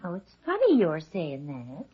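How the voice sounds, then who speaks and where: An actress playing a chatty, gossipy farm wife in an old radio drama, with a rural American accent and rambling, homespun speech. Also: female voice, low-pitched, huge pitch swings, unhurried, slightly rough, exaggerated voice. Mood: friendly, chatty. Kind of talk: 1940s radio drama acting. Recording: dull old radio recording.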